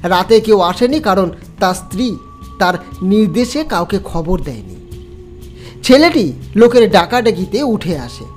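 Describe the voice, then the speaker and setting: A male storyteller delivers dramatic horror narration with lively, wide-swinging intonation. Music plays behind the scripted telling. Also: high voice, a little slow.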